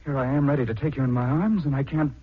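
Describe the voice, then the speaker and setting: A man's voice: mid-range voice, fast talker, slightly rough, close to an everyday voice. A man acting an easygoing, teasing, baffled husband in a 1940s radio drama, on a muffled old recording.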